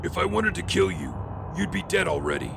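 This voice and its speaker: A man playing a grim, self-assured hooded vigilante in an audio drama, terse and stern with a gravelly voice. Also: high-pitched, wildly swooping pitch, brisk, background noise, exaggerated voice. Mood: menacing.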